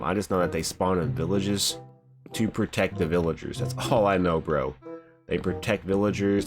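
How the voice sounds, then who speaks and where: A young man with a very low, stuffy voice from a cold, talking in a laid-back, rambling way as he plays. Music plays clearly behind him. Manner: laid-back, rambling, says "bro" often. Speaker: gaming channel host. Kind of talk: casual gameplay commentary. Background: background music.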